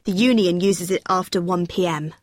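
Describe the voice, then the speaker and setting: A woman's voice: fairly low pitch, normal speed, dry recording, clean studio sound, lightly acted. A young woman playing a friendly, helpful student union helper who explains things in an acted everyday dialogue. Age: young adult.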